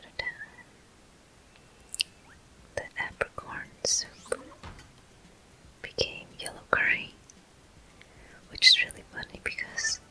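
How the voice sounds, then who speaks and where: A woman hosting a gameplay video in ASMR style, murmuring softly and gently as she comments. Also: low-quality recording. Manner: soft, gentle, unhurried murmuring. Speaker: gameplay host.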